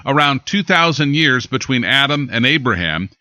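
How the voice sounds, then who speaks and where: An American man reading scripted news as an anchor, with an emphatic, deliberate newsreader delivery. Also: medium pitch, sing-song, sharp tone, no echo.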